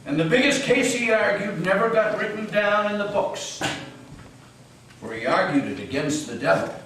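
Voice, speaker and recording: A man introducing a tale as a theatrical storyteller with drawn-out phrasing. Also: sits high, expressive, a bit bright. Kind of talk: dramatic storytelling. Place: meeting house, live reading. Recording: echoey hall from far off, faint noise.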